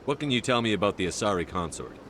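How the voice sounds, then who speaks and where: A low-voiced, firm military commander. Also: male voice, animated, fairly bright tone, slight background noise. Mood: calm inquiry. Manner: measured, curt, diplomatic. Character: composed male human military commander, firm and guarded. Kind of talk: video game dialogue acting.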